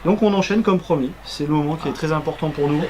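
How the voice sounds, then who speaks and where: A man's voice: fairly high pitch, fast talker, noisy background. A man hosting a radio show, chatting casually and joking as he presents.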